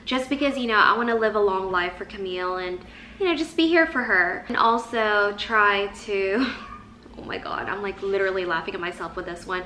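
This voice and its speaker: Woman vlogger in her thirties, Tagalog her first tongue, bright higher voice, echoey room. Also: brisk, slight background noise. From Philippines. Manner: chatty, expressive hand gestures. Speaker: lifestyle vlogger. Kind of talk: casual chat to camera. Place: living room with Christmas tree.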